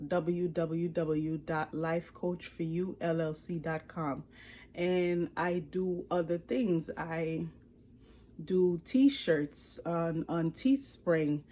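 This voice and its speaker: A woman in her forties with a Haitian accent, giving an earnest, expressive personal testimony to camera. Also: fairly low pitch, slow pace, a little hiss or hum. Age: 40s. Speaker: life coach and ministry leader. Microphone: speaking to a camera.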